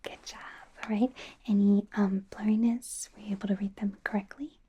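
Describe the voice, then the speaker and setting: A woman playing a friendly, self-correcting werewolf doctor in an ASMR roleplay, speaking in a soft, gentle, hesitant whisper. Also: mid-range voice, fairly even intonation, moderate pace, dull audio, a little hiss or hum, natural voice. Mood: gentle, attentive.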